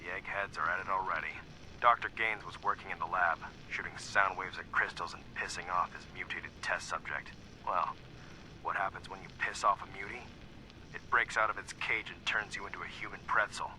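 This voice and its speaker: A very deep, gruff security captain. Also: male voice, sharp tone, slight background noise, rough recording. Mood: sardonic, resigned. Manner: blunt, sardonic, folksy. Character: gruff, cynical male security captain, weary and distrustful. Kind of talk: scripted video game audio log.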